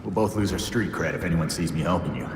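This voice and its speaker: A man's voice: low-pitched, fast talker, very noisy. A man playing a loyal, defiant young vigilante in a video game, earnest and wry with emotional urgency. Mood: weary, wry. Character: gruff, loyal young male vigilante, wounded but defiant. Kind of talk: dramatic video game cutscene acting. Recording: echoey hall reverb with music.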